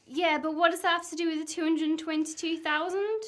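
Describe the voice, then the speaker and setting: A woman's voice: extremely high pitch, fairly even intonation, brisk, a bit bright. A young woman playing a suspicious, exasperated wife in an amateur radio play. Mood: alarmed, demanding. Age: young adult.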